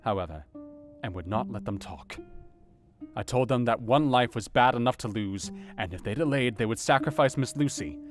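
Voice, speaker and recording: An actor playing an earnest doctor, narrating a dramatised audiobook in a measured, formal and dramatic way. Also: male voice, on the low side, natural voice. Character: earnest male doctor recounting a grim night.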